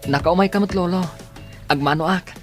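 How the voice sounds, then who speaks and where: An actor playing a polite, affectionate young grandson with an Ilocano accent in a radio drama. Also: male voice, sits high, normal speed, music in the background, natural voice. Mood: respectful.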